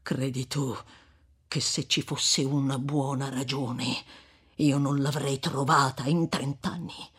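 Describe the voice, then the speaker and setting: A woman voicing a stern old male friar who thunders rebukes and then drops to hushed, slow gravity. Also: rumbling low, little pitch movement, breathy edge, heavily acted. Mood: grave.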